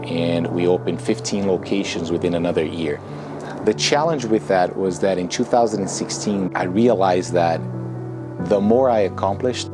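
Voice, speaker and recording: A man in his thirties with a Brazilian accent, speaking English as a second language in an earnest, reflective personal testimonial. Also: low voice. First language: Portuguese. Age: 30s. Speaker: author and entrepreneur promoting a book. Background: music.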